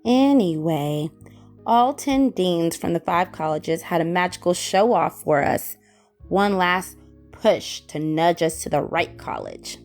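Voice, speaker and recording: A young female mage student acted by a woman chats and teases her friends in a fantasy audio drama. Her voice is lowish and creaky, with music behind. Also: lively intonation, takes it slowly, darkish tone. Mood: teasing.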